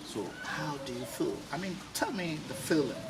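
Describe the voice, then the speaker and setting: A man's voice: fairly high pitch, lively intonation, moderate pace. A man with a Ghanaian accent hosting an interview, asking emphatic, repetitive questions. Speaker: host, interviewer. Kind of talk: on-camera interview for an online talk show. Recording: clearly noisy ambient background.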